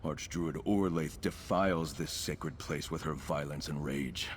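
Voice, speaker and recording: An actor playing a solemn, resolute male ally in a fantasy video game, speaking in an earnest, formal and measured way. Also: a bass voice, slight background noise. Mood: grave, earnest. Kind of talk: scripted video game dialogue.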